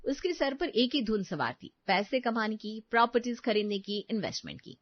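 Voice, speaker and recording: A woman's voice: on the high side, very animated, moderate pace, dry recording, muffled recording. A woman reading a scripted family story as its narrator.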